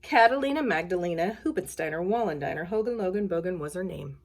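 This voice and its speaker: A woman's voice: on the low side, animated, fast talker. Woman talking simply to children. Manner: plain, simple, child-directed phrasing. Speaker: school nurse reading a story to children. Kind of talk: casual talk to children and read-aloud storytelling.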